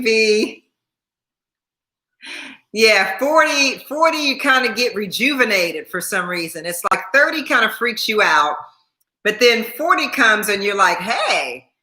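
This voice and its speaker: Chatty woman in her sixties, bright voice. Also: on the high side, roomy sound. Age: 60s. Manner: chatty, repeats herself, laughs often, thanks viewers by name. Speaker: YouTube host. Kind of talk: casual livestream chat with viewers.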